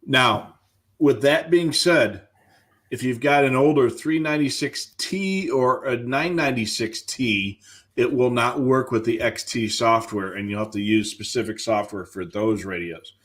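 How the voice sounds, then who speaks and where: An American man co-hosting a live show, giving casual, measured technical explanations. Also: fairly low pitch, fairly bright tone.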